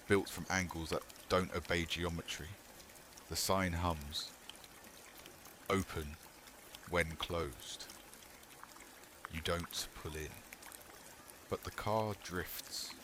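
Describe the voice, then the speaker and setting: Male horror narrator, low-pitched and unhurried, short measured phrases, clear noise bed behind the voice. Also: fairly bright tone. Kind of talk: scripted second-person horror narration.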